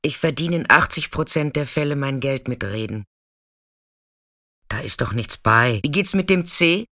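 Bright-voiced, frank, streetwise sex worker. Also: female voice, low-pitched, normal speed, no room sound, clean studio sound. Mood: chatty, familiar. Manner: colloquial, chatty, frank. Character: warm, chatty, streetwise female sex worker, caring and unshockable. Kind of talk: intimate radio drama monologue and narration.

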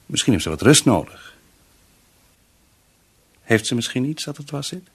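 A man's voice: medium pitch, sing-song, normal speed, husky. A male actor in a radio play playing a worried, tactful employer who speaks hesitantly and apologetically. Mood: concerned, tactful.

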